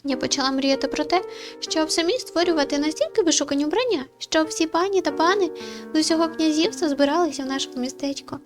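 A gentle forest nymph, put on by a woman reading a game speaks in a very high voice. She is sorrowful and tender, phrasing things in a lofty fairy-tale manner over faint music. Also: fast, lightly acted. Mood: wistful, sorrowful.

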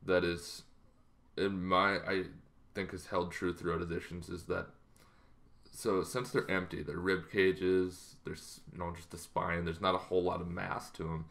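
Deep-voiced man, casual and bright. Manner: informal, rambling, halting. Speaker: host of a tabletop roleplaying channel. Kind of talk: casual explainer to camera with game advice. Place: small bedroom, indoors.

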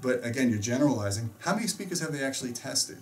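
Middle-aged American male host, casual explainer, emphatic and opinionated, average pitch, distant room mic. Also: animated, fast. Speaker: host and audio reviewer. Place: small indoor home theater room.

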